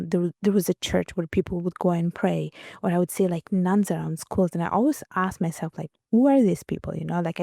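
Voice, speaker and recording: Low gravelly-voiced woman from Italy. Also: warm tone, dry recording, clean studio sound. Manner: reflective, many fillers and restarts. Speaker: guest author. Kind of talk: conversational interview.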